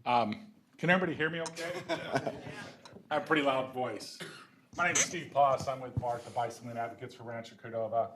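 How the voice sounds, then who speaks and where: A man's voice: medium pitch, fairly quick, noisy background. Earnest man addressing a city council. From local resident of the city. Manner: earnest, proud, loosely structured. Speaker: bicycle advocacy group representative. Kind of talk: public comment at a city council meeting.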